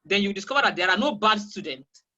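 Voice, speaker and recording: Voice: medium pitch, lively intonation, quick pace, bright, forceful tone, dull audio. A teacher from Nigeria with a Nigerian accent, a Yoruba speaker, answering interview questions emphatically and repeating key phrases over a video call. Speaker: guest teacher of English.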